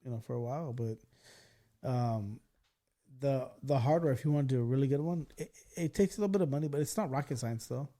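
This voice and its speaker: A man's voice: mid-range voice, brisk, warm tone. A man hosting a podcast, chatting casually into a studio mic, laid-back, cursing and laughing with his guests. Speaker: podcast host. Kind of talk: casual chat.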